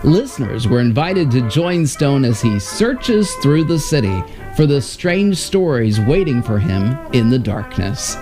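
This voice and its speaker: A man's voice: mid-range voice, sing-song, a little slow. A man reading scripted promotional narration as the presenter of a radio history programme. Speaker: presenter of old radio episodes. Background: loud music.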